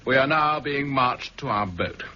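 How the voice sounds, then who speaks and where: An actor playing a polite, cool-headed visitor with dry wit in a 1960s radio drama, muffled old broadcast. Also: male voice, medium pitch, lively intonation, a little slow, pushed and bright, a little hiss or hum. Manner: polite, dryly witty.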